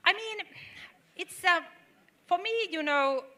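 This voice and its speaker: A middle-aged woman speaks on a forum panel in a bright, forceful voice. She talks earnestly and halts often, restarting phrases and filling pauses as she works through her point. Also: extremely high pitch, expressive. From Finland. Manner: earnest, halting, with many fillers and restarts. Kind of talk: panel discussion.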